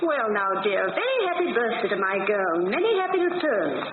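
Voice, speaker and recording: An actress playing a brisk, affectionate, folksy small-town mother in 1940s radio style. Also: on the high side, big pitch swings. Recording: echoey, noisy, tinny old radio.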